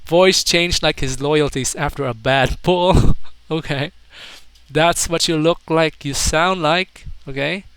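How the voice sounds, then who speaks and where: A man's voice: a little high, takes it slowly, fairly bright tone, clear, resonant tone, no echo, poor audio. A young adult man casually reading out internet comments as a commentator, with mocking, incredulous, slangy asides. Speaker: video commentator.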